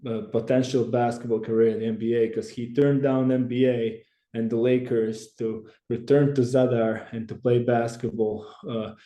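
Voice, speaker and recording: A man's voice: medium pitch, flat delivery, dark tone, roomy sound. A man from Croatia speaking English with a Croatian accent, an earnest podcast guest who restarts his phrases often. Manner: earnest, halting, many restarts. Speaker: church branch president, guest. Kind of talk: podcast interview.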